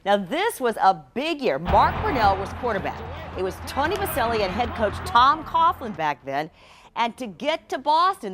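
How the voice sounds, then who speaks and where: A woman's voice: medium pitch, fairly bright tone, a little hiss or hum. A woman news anchor and reporter telling stories in a chatty, emphatic, conversational way.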